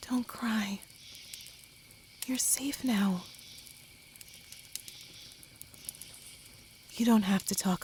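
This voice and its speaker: A woman playing a kind, protective traveller in a gentle ASMR comfort roleplay, speaking softly with some whispering and reassuring slowly. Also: medium pitch, a little hiss or hum, close to an everyday voice. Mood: soothing, concerned. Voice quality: soft, partly whispered. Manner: gentle, slow, soothing, reassuring.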